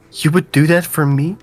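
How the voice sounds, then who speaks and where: A troubled young noblewoman from a gothic visual novel, voiced by a man. She speaks politely, as someone raised in a manor would, with music playing behind her. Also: a little high. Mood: anxious. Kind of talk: dramatic read of visual novel dialogue.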